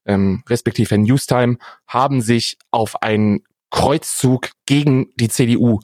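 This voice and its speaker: A man's voice: medium pitch, unhurried, darkish tone, no echo, studio quality. A man co-hosting a casual podcast, bantering in an ironic, slangy way. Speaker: podcast co-host.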